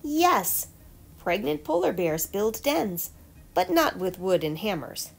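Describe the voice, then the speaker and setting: A woman's voice: mid-range voice, sing-song, takes it slowly, soft background music. A woman reading a children's picture book aloud like a teacher, with emphatic storytelling delivery. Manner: clear storytelling delivery with emphatic questions and answers.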